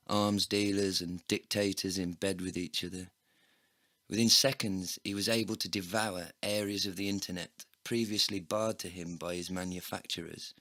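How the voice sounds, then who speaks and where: A man's voice: low voice, a bit bright, dry recording, clean studio sound. A man narrating a first-person comedy audio drama from a script, world-weary, sardonic and sweary. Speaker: narrator and performer.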